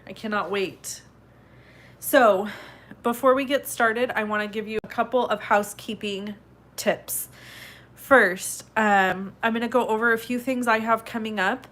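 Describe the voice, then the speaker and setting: A woman with a stuffed-up head cold hosting a live card-making tutorial in a chatty, upbeat way, sniffling and clearing her throat now and then. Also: on the high side, fairly even intonation, unhurried, a bit bright. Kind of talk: casual live tutorial chat.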